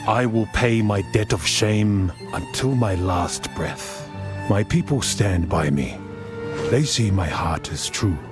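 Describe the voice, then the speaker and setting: Low, slow, remorseful warrior chief. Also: male voice, animated. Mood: earnest resolve. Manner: solemn, earnest, deliberate. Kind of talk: dramatic fantasy game acting. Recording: music under the voice.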